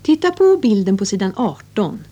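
A woman's voice: mid-range voice, very animated, dark tone. A woman presenting a children's English lesson with scripted narration and instructions.

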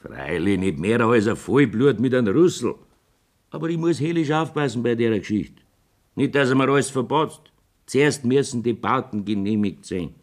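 Man acting wily Bavarian village mayor, animated swooping intonation, mid-pitched, earthy, evasive, jovial radio drama bluster. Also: normal speed, lightly acted. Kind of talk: dialect radio play acting.

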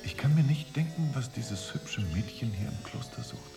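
A man's voice: mid-range voice, expressive, normal speed, vocal fry, echoey room, over music. An actor playing a restless, suspicious young English vice-consul in his twenties in a German radio drama, questioning and opinionated. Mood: puzzled, disapproving. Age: 20s.

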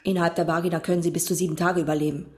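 Actress as low-voiced, composed, strained wife. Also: female voice, little pitch movement, racing, a little mellow, natural voice. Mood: controlled, strained. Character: composed, strained wife, a gynaecologist defending accused husband. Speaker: actress in radio drama. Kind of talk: German radio crime drama acting.

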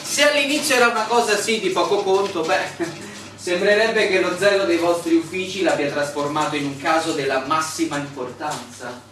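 Male, high voice, acting as a stubborn, ironic surveyor in radio drama; distant from mic. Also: normal speed, a bit bright, close to an everyday voice. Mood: ironic, probing. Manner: formal, ironic, theatrical delivery. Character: insistent young male land surveyor, a stranger challenging officials. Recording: noisy echoey room, far from mic.

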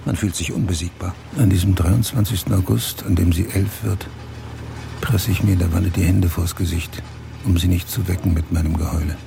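An actor playing a grieving widowed father of about forty in a radio drama monologue, speaking in terse, measured, brooding phrases. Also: low voice, steady tone, gravelly, background noise. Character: grieving widowed father looking back on a fresh start. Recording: music laid loudly under the voice. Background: music.

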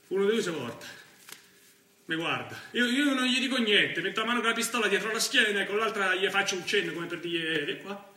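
An anxious young militant telling his story on stage in a thick Roman accent. The voice is high and very sharp, halting and repetitive. It is picked up from afar in a roomy space. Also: full character voice. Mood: nervous. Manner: halting, repeating himself, colloquial. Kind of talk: theatrical first-person stage monologue. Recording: roomy stage sound, distant mic, faint noise.

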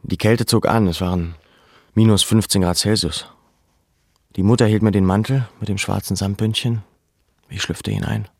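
A man looking back on his deportation to a camp. His voice is low and creaky, recorded very dry and close. He narrates in a measured, reflective way, as in a literary audio drama. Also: fairly even intonation, a little mellow, dry recording. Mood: sober. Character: male camp survivor soberly recalling his deportation.

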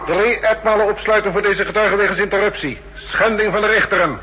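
An actor playing a contemptuous authoritarian judge, curt and pompous, with a husky voice in a 1960s radio drama. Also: male voice, high-pitched, fairly quick, very noisy, natural voice. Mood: stern, contemptuous. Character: harsh, contemptuous authoritarian male street judge. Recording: reverberant old radio drama recording.

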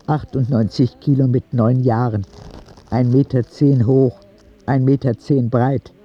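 A man's voice: medium pitch, fairly even intonation, measured pace, breathy edge, dull audio. A man playing a frank, cheeky ten-year-old boy who tells his story in candid, wry audio drama narration, in standard German. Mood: cheeky, matter-of-fact.

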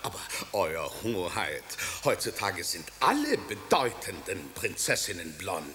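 An actor playing a flattering court adviser who speaks in an obsequious, ingratiating way. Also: male voice, a little high, vocal fry, a little hiss or hum, heavily acted. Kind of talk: radio drama acting.

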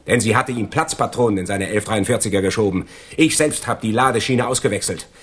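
Deep, gloating crook. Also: male voice, a little fast, breathy edge. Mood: smug, sardonic. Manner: sardonic, mock-polite, lecturing. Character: gloating, envious male crook, betraying his friend. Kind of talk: German radio crime drama acting.

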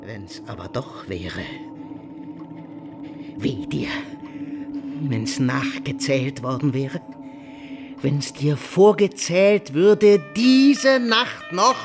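A guilt-ridden, villainous nobleman sliding into madness in a theatrical radio drama. His delivery is feverish and drawn out, with huge leaps in pitch from hushed, shaking dread to frenzied cries. Prominent music runs behind the voice. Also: expressive, very slow, long pauses, full character voice. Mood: defiant, fearful. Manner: feverish, erratic, lurching from hushed trembling to frantic cries. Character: guilt-tormented nobleman villain, terrified, raving, descending into madness. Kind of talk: German radio drama acting, theatrical classical monologue.

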